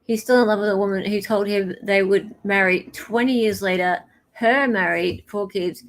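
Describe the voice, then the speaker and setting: A woman's voice: mid-range voice, fairly even intonation, moderate pace, rough recording. A woman hosting a livestream with casual, conversational commentary, repeating points for emphasis. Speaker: livestream host.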